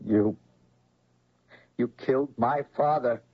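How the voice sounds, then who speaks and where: An actor playing a shaken, accusing grown son in a radio drama, with a husky voice. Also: male voice, medium pitch, slow, no room sound. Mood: shocked, hurt. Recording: muffled old radio broadcast.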